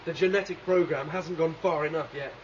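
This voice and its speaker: An actor playing a confident, idealistic rebel leader, explaining emphatically in a 1970s sci-fi radio drama. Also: male voice, on the high side, a little fast, natural voice. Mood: confident, scheming. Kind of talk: 1970s science fiction radio drama acting. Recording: dull vintage radio recording, faint noise, water.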